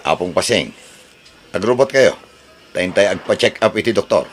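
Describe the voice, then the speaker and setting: A man's voice: sits low, animated, normal speed, faint music behind. A man playing a concerned caregiver giving advice in a radio drama, with an Ilocano accent. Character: concerned male caregiver advising an old woman.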